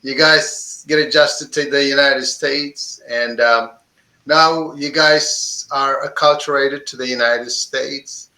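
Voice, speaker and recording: A man's voice: mid-range voice, fairly even intonation, slow pace, pushed and bright. A man hosting an interview, asking questions in loose, stumbling phrases. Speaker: interviewer host. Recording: roomy echo.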